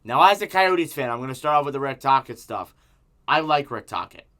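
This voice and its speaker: A man's voice: medium pitch, lively intonation, brisk, bright, forceful tone. A man with a sore throat from strep giving an emphatic, exasperated, opinionated sports rant as a fan making an online video. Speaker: hockey fan YouTuber.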